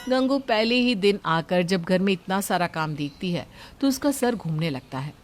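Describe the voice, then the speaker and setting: A woman's voice: lowish voice, expressive, normal speed, a little hiss or hum. A woman reading scripted story narration as a storyteller.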